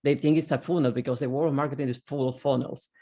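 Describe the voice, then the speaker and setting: Argentine man with Spanish accent, halting. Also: medium pitch, steady tone, dark tone. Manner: halting, many fillers and restarts. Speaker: panelist. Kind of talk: casual panel talk.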